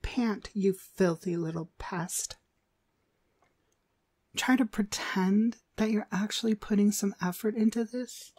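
A woman's voice: mid-range voice, darkish tone, breathy edge, dry recording, clean studio sound. A woman playing a cruel, domineering demonic dog trainer in an ASMR roleplay, contemptuous and commanding in her delivery. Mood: scolding, commanding. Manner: contemptuous, commanding, slow and deliberate. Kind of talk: ASMR roleplay, frequent breathy whispering.

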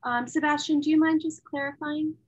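A woman's voice: high voice, fairly even intonation, brisk. Upbeat young woman hosting a webinar. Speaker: event host. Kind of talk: moderating a virtual open house. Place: home room with framed pictures. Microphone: laptop webcam mic.

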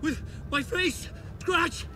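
An actor playing a frantic, haunted middle-aged writer in a video game, shouting and stammering in panic. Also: male voice, extremely high pitch, slow pace, background noise. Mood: panicked.

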